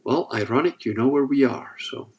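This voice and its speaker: A man's voice: mid-range voice, normal speed. A man with a sore throat hosting a casual livestream, reading chat and joking as he rambles. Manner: rambling, joking, restarts often.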